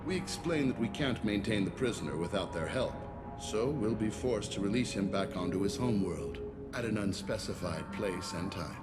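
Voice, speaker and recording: An actor playing a stern, self-righteous alien warden of a space prison ship in a video game, speaking formally and with authority. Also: male voice, fairly low pitch, expressive, normal speed, natural voice. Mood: smug, matter-of-fact. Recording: loud music behind the voice.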